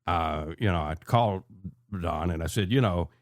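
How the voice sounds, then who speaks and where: Low-voiced older man hosting radio. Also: moderate pace, dry recording. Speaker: radio show host. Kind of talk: conversational talk radio hosting. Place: radio studio. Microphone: close studio microphone.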